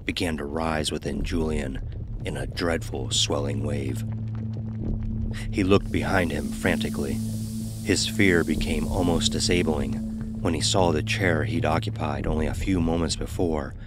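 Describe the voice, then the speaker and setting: A man with an exceptionally deep voice narrates a horror story. His delivery is scripted and measured, with an ominous, dramatic weight to it. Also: steady tone, over loud music.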